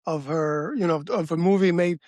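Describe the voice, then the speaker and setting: A male interviewer with a slightly high voice chats casually. He feels his way through sentences haltingly, searching for words. The recording is dry, with little room sound. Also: fairly even intonation, a little mellow, no echo. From Cuba. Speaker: interviewer, host.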